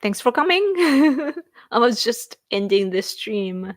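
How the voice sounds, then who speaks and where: Japanese woman in her thirties hosting a livestream, unhurried delivery, dry close sound, giggly and chatty. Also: fairly high pitch, big pitch swings, dry recording. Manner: rambling, laughs often, thanks viewers repeatedly. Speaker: livestream host. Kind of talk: casual livestream chat reading viewer comments.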